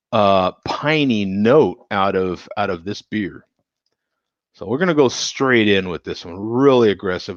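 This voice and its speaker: A man's voice: fairly low pitch, no echo, dull audio. A man hosting a casual beer show, rambling, laughing and chatting with viewers, a bit tipsy. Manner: rambling, laughs often, talks to chat viewers. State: tipsy from drinking. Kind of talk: casual live-stream chat.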